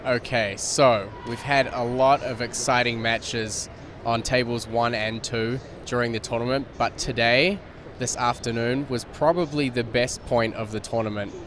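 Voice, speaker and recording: A man's voice: mid-range voice, measured pace, a crowd in the background. Man from New Zealand recapping matches. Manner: relaxed, filler-heavy recap. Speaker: media director and commentator. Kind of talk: live sports show analysis. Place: studio set at a convention center. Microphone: handheld mic.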